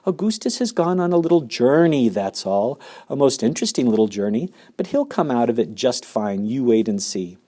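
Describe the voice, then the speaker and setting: A man voicing an excitable, eccentric chocolate-maker in a storybook, fluttery and pleading. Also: medium pitch, normal speed, few pauses. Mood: pleading fussy.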